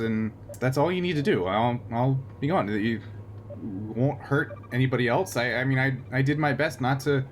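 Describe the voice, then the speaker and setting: A man's voice: mid-range voice, a little hiss or hum, close to an everyday voice. A man playing a remorseful character who stammers apologetically while pleading to be let go.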